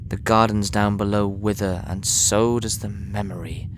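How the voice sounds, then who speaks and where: An actor playing a guilt-haunted villager, narrating gravely in the first person in an audio drama. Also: male voice, on the low side, little pitch movement, noisy background, natural voice. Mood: somber dread. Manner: slow, grave, brooding. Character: brooding, guilt-haunted village man narrating his dark confession.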